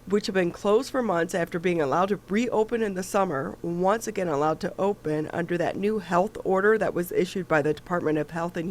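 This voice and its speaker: A talk show host with a stuffy nose, reading the news and chatting casually with a co-host, with lots of fillers and restarts. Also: medium pitch. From Michigan.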